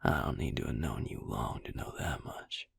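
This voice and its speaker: A man voicing a gruff, caring, sardonic vampire healer in an intimate ASMR roleplay, speaking in a breathy, gravelly near-whisper. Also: rumbling low, animated, normal speed, heavily acted. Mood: tired, fond.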